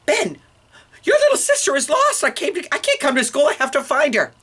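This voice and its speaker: A woman's voice: wildly swooping pitch, a little fast, fairly bright tone, exaggerated voice. A woman voicing a frantic, worried mother in a storybook, exclaiming in alarm. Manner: high, exclaiming.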